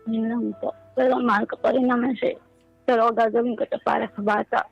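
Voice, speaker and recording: A woman's voice: a little high, level tone, a little fast, creaky. A woman with a Filipino accent calling in to a radio show, speaking politely and hesitantly. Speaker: caller. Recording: dull lo-fi call audio. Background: faint music.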